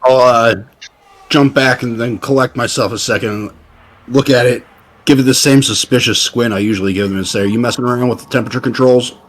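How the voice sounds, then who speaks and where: A man's voice: mid-range voice, fairly even intonation, a little fast. A man chatting casually as a player in a tabletop role-playing game, describing what his character does. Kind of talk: casual in-game roleplay chat.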